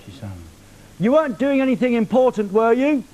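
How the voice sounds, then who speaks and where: A man's voice: extremely high pitch, expressive, fairly quick, darkish tone, lo-fi sound. A man hosting a live TV show, teasing a caller on the phone with cheeky questions. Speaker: TV presenter. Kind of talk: live TV prank phone call. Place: TV studio.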